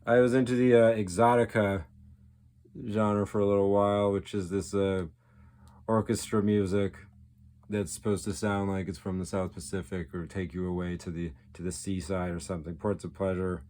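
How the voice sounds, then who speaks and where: A man's voice: low voice, little pitch movement, normal speed, warm tone. A man giving a casual, off-the-cuff show-and-tell as a collector, rambling along with geeky enthusiasm. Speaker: record collector, video host.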